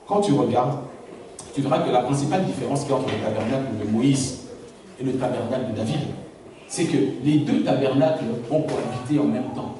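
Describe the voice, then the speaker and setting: A man's voice: mid-range voice, fairly quick. A pastor preaching a sermon to his congregation. His native language is Lingala, and he keeps asking the room whether they follow him. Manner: preaching with rhetorical questions to the congregation. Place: large church hall. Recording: very echoey church hall, distant mic, faint noise.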